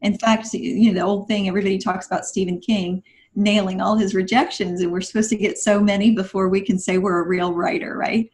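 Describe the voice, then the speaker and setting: A woman's voice: medium pitch, steady tone, a little fast, darkish tone. A woman speaking conversationally as a writer guest on a podcast, explaining things earnestly. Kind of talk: conversational discussion.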